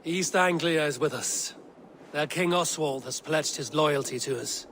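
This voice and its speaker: Firm, measured Viking leader. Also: male voice, on the high side, fairly bright tone, a little hiss or hum. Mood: at ease. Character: adult Viking clan leader, stern, measured, compassionate. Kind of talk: video game cutscene acting.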